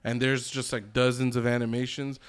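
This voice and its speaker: Casual, profane man with an average-pitched voice. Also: level tone, a little fast, smooth. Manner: opinionated, profane. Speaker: podcast co-host. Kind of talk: casual chat about games and films.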